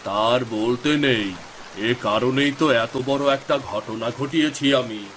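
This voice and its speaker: A man's voice: mid-range voice, measured pace, a bit bright, lightly acted. Guy playing a gloating village landlord villain, bossy and conspiratorial, cartoon, mid voice, some rain noise. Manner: commanding, conspiratorial. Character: scheming, gloating male village landowner villain. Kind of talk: cartoon story voice acting.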